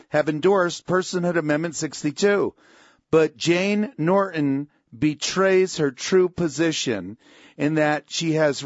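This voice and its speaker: A man with a somewhat high voice gives an emphatic radio commentary as a pastor and host. The sound is dry and a little dull. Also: no echo. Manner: emphatic, opinionated delivery.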